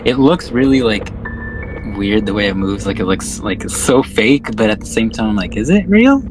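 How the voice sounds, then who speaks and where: Man, lowish voice, podcast host, casual film chat, slangy and profane, laid-back, clear music in the background. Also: expressive, normal speed, rough recording. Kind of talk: casual podcast chat about horror films. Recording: music playing behind the voice.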